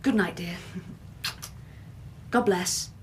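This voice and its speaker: An actress playing an anxious, delicate young English gentlewoman in a radio drama, hesitant and tremulous. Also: on the low side, very slow, slight background noise. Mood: uneasy, affectionate.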